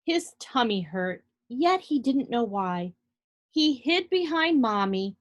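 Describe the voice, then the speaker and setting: Expressive female preschool teacher, higher-pitched voice. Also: takes it slowly, lo-fi sound. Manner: animated, addresses small children directly, simple explanatory phrasing. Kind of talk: read-aloud to young children with asides and questions.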